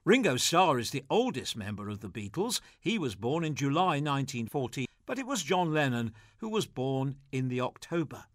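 A man's voice: medium pitch, big pitch swings, normal speed, few pauses, dry recording, clean studio sound. A man presenting a scripted audio magazine, reading trivia and introductions. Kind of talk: scripted quiz and narration.

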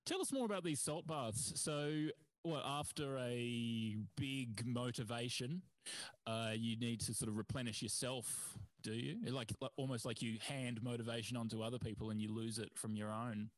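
A man's voice: mid-range voice, rough recording. A man hosting a comedy quiz in a playful, casual way. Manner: playful, quick to laugh. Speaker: quiz host.

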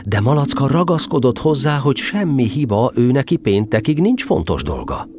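A man's voice: mid-range voice, big pitch swings, a little mellow, slight background noise. A man narrating a storybook audio drama, telling the tale expressively.

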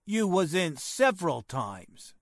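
A folksy, defensive private detective acted by a man in an old radio play. His high voice bounces up and down with plain-spoken emphasis, in a dry recording. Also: very animated, slow pace, no echo, heavily acted. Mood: pondering. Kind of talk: 1940s radio drama acting.

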